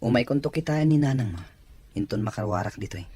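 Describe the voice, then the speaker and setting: An actor plays a rich young Filipino man in an audio drama, an employer with a very husky voice. He is blunt in his questions but sympathetic underneath. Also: mid-range voice, expressive, fast talker, mellow. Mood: grumbling, concerned.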